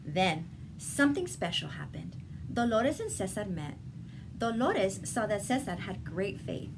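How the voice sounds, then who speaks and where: A woman reading a children's picture book aloud in a deliberate, expressive storytelling manner. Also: mid-range voice. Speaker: storybook reader. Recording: clearly noisy background ambience.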